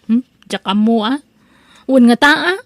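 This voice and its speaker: A woman's voice: a little high, normal speed, dry recording, close to an everyday voice. A woman playing a concerned grown daughter with an Ilocano accent in a comedy audio drama. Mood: bemused. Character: concerned grown child of confused aging parents.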